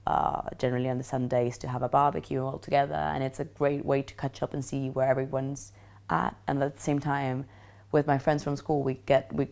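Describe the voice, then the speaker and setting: A young woman from Argentina with a very low voice that has a gravelly edge. She answers questions earnestly and flatly, stressing her points by repeating words. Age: 20s. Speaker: interviewee.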